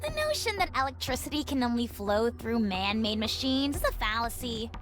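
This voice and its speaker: A woman's voice: fairly high pitch, big pitch swings, moderate pace. A woman voicing an inquisitive, scholarly young female analyst in a video game, musing and precise. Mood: curious, musing.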